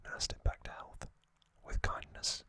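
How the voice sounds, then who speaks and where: A man delivers an ASMR recap entirely in a breathy whisper. His sound is very bright and sibilant. He moves slowly, in short halting phrases, as he narrates trivia. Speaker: ASMR host.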